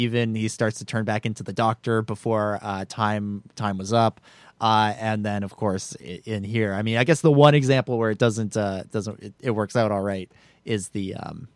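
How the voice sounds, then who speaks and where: A man co-hosting a film podcast, talking casually in a fairly low voice. He laughs easily and keeps stumbling through restarts and fillers. The recording is dry and close. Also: no room sound. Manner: chatty, laughs often, many restarts and fillers. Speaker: podcast co-host.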